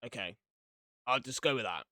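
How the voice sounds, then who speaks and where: A man's voice: medium pitch, lively intonation, normal speed, sharp tone, no echo. A man giving casual, informal reaction commentary as a video host, interjecting with incredulous remarks.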